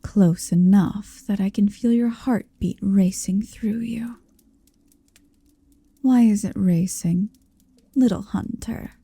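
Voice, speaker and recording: A woman playing an ancient, haughty, possessive female dragon in human shape, in an intimate ASMR roleplay, breathy and often whispering, with a commanding, teasing manner. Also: medium pitch, mellow, heavily acted. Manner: slow, languid, commanding, teasing.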